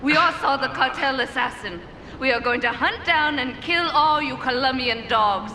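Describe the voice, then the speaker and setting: A woman's voice: high-pitched, moderate pace, bright, forceful tone, echoey room, big-room sound, slight background noise. A woman voicing a cold, sadistic female Yakuza boss who speaks in an icy, commanding way. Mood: menacing.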